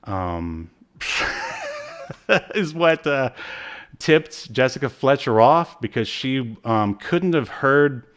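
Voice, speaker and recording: A man's voice: mid-range voice, wildly swooping pitch, drawn-out words, pauses often, a bit bright. A man co-hosting a podcast, casually recapping in a hesitant, rambling way. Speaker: podcast co-host. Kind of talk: casual chat recapping a TV episode.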